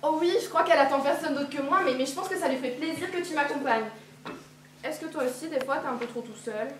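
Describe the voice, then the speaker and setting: A woman's voice: high-pitched, fast, a little hiss or hum, close to an everyday voice. A teenage girl playing a trusting little girl who chatters eagerly and repeats herself, in a school play. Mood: innocent. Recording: echoey hall from far off.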